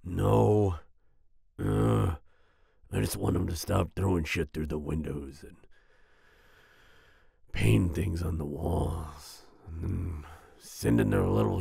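A man playing a big, grumpy werewolf boyfriend with a growling, husky voice and a soft side, in an ASMR roleplay. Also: low voice, no room sound, clean studio sound, exaggerated voice. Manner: grumbling, slow, with grunts and growls.

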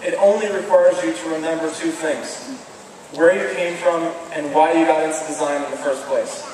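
A man delivers a commencement address in a measured, earnest voice that sits a little high for a man. He speaks at a podium in a big stone hall, and the sound comes from a distant camera, with strong echo, a long reverb tail and clear room noise underneath. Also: fairly even intonation, darkish tone. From American Midwest. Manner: measured, earnest, deliberate phrasing. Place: large stone hall with flags, indoors. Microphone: podium microphone, filmed from far off. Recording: echoey hall from far off, audible background noise.

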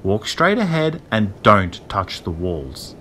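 A man voicing a tiny talking seashell with a small high voice, sly and pleading as it urges and croons. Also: big pitch swings, slow pace, pushed and bright, a little hiss or hum, exaggerated voice. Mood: soft, urging. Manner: urging, crooning persuasion.

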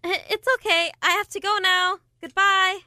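A woman's voice: very high-pitched, steady tone, moderate pace, sharp tone, no room sound, clean studio sound, exaggerated voice. A young woman playing an agreeable date in an absurdist radio play. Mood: polite. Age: young adult.

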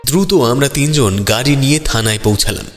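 A man's voice: medium pitch, expressive, a little fast, natural voice. A man voices a detective's companion telling a mystery in a scripted audio drama. His voice rings with long reverb, and music plays behind it. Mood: measured storytelling. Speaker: narrator. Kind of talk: scripted first-person audio story narration.

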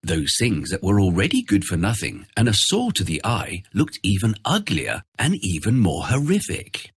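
A man narrating a children's fairy tale in an expressive, theatrical storytelling style. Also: fairly low pitch, normal speed, husky, dry recording, clean studio sound.

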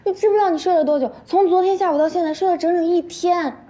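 Young woman friend in a tv drama, very high but mellow voice, anxious and fussy. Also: quick pace. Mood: anxious, fussing. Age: young adult. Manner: fussing, exclamatory. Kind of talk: TV drama acting.

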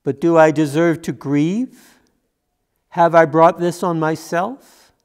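A man's voice: a little high, fairly even intonation. A man in his seventies playing a grieving, guilt-ridden disciple of Jesus in a reflective church monologue. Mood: sorrowful, ashamed. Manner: slow, reflective, questioning monologue. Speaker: minister. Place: church sanctuary, at lectern. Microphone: gooseneck lectern microphone.

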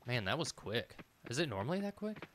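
A man in his thirties with a bright, forward voice talks his way through a video game. He thinks aloud in a casual, musing way, as if muttering to himself. There is faint noise and clicking behind him, and the mic is close. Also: mid-range voice, very animated, fairly quick. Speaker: gaming video host. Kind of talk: casual let's play commentary, thinking aloud.

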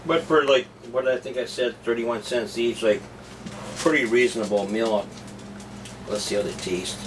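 A man's voice: mid-range voice, normal speed. A middle-aged man casually chatting as he cooks and tastes food in his home kitchen, rambling and offhand, with many restarts. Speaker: food vlogger. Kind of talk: casual cooking and tasting vlog. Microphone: camera mic set back in the room. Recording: distant camera mic with background noise.

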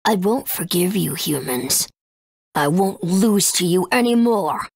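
A woman's voice: fairly low pitch, animated, unhurried, creaky, breathy edge, no echo, clean studio sound, exaggerated voice. A woman playing a haughty, bitter ruler of darkness in a video game, speaking in an imperious, theatrical way.

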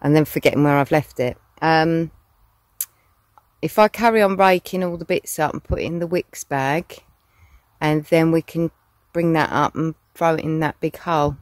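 A woman with a loud, shouty voice chatting casually to a handheld camera outdoors on rural land. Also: low-pitched, normal speed, no echo. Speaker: vlogger.

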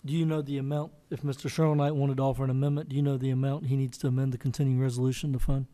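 A man chairing a meeting, a sharp, tense voice. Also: medium pitch, level tone. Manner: deliberate, questioning. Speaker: council chairman. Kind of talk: public meeting discussion. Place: large council chamber.

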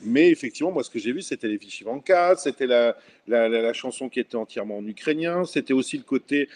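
A man's voice: mid-range voice, expressive, fast talker, a little mellow. A middle-aged man speaking as a guest on a video call, thoughtful and enthusiastic, with frequent fillers. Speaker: guest, former local culture official. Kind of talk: casual video call discussion. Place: indoor room at home. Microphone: laptop webcam video call.

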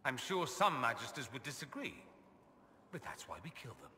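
Game companion: a sardonic, self-amused mage noble, crisp bright voice, lively pitch, reverb tail. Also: male voice, normal speed, a little hiss or hum. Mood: wry. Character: witty, vain male mage nobleman, wry and worldly. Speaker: companion character. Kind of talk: video game dialogue.

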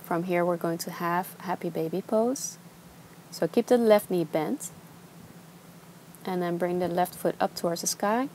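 A young woman teaching a gentle yoga class, giving slow, soothing instructions. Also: lowish voice, slight background noise, low-quality recording. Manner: calm, slow, soothing cues. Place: outdoors on a beach.

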